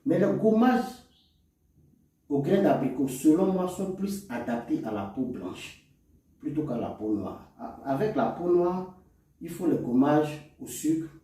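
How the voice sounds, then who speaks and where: A man's voice: fairly high pitch, expressive, unhurried, warm tone. Echoey, distant African French-speaking man teaching. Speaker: cosmetics chemist and instructor. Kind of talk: informal explanatory product lesson. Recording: echoey room, distant microphone.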